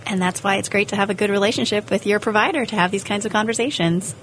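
A woman co-hosts a radio health talk and explains points in a measured manner. Her voice sits mid-pitch. A faint hiss sits behind her. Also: steady tone, a bit bright, low-quality recording. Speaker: radio show co-host. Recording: faint background noise.

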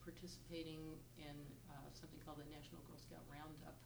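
An older woman from Virginia recalling her life in an oral history interview, thoughtful and unhurried, with many pauses and fillers. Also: sits low, creaky. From Virginia, US South. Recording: roomy, distant mic with steady background noise.

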